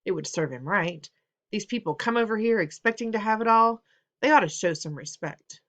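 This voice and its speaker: A woman voicing a blunt, stubborn father who talks gruffly and raises his voice. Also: on the low side, very animated, normal speed, a bit bright. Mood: stern. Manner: gruff and insistent, raising his voice.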